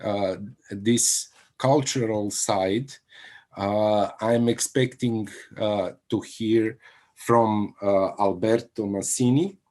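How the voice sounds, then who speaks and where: A male moderator with a fairly low voice hands the floor to the next speaker. He talks slowly and haltingly, filling his pauses with hesitations. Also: steady tone, a little mellow. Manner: halting, many fillers. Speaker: panel moderator. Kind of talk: moderating an online panel.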